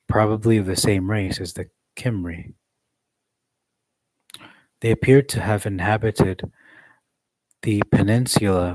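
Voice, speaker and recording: A man reading a study text aloud in a measured way, adding occasional joking asides. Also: fairly low pitch, darkish tone, no echo, rough recording.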